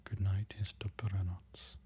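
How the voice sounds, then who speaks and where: A presenter whispering an ASMR-style lesson, slowly repeating foreign words and correcting themselves. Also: sits low, little pitch movement, husky. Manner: whispering throughout, soft and close. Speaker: presenter learning Polish from a book.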